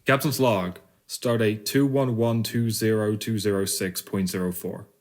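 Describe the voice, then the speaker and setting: A man playing a cautious, authoritative starship captain in a sci-fi audio drama, speaking in a measured, commanding way. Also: fairly low pitch, fairly even intonation, lightly acted. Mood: measured, wary. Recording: music under the dialogue.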